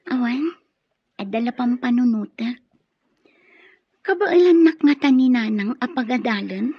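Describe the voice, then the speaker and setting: A woman's voice: on the high side, expressive, a little slow, breathy edge, full character voice. A woman acting a lovestruck, wistful young student in an Ilocano radio drama, with emotive, hesitant delivery. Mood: worried. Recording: dull lo-fi sound, roomy echo.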